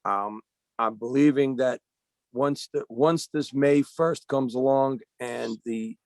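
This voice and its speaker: Gravelly man, voice going, halting delivery on a video call, dry sound. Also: mid-range voice, darkish tone, dry recording. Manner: halting, searching for words. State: losing his voice. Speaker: union organizer. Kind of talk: panel remarks on a webinar.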